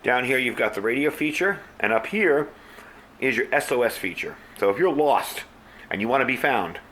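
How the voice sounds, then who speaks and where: A man's voice: mid-range voice, animated, moderate pace. A man giving a casual, conversational hands-on product review indoors. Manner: conversational, informal. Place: indoors, small room at a tabletop. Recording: clear background room noise.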